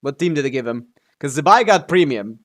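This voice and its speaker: A man's voice: on the high side, lively intonation, brisk, fairly bright tone. A man live streaming a video game, chatting casually in a laid-back way with some offhand swearing as he comments on play.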